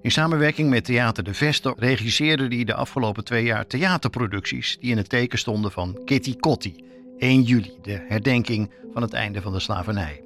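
A man's voice: mid-range voice, a little fast, a bit bright. Male reporter narrating a documentary. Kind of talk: scripted documentary podcast narration.

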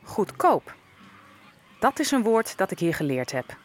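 An actress playing a sorrowful, observant enslaved African woman, narrating an audio drama in a measured, reflective way. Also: mid-range voice, sing-song. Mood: somber, reflective.

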